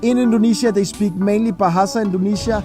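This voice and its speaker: A man doing a scripted voice-over narration in a measured, list-like way, stretching out key words. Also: high voice, fairly even intonation, music in the background. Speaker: video narrator.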